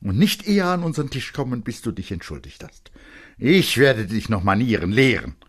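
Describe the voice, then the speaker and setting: A man performs a stern mother from a dramatic audiobook, lifted above his own pitch. She is reproachful and flustered, scolding her child with commanding emphasis. The delivery is husky and very varied in pitch. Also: big pitch swings, normal speed. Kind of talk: dramatic literary audiobook acting.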